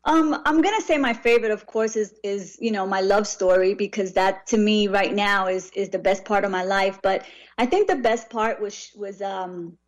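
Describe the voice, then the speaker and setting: A woman in her thirties, from New York, answering interview questions in a relaxed, reflective way. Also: mid-range voice, normal speed. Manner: relaxed, reflective, frequent restarts. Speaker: author and guest.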